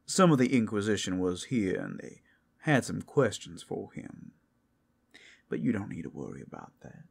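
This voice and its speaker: A man's voice: on the low side, wildly swooping pitch, normal speed, gravelly, breathy edge, full character voice. A man voicing a suave, predatory male werewolf in an ASMR roleplay, speaking in a flirtatious, teasing drawl. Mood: sly, welcoming.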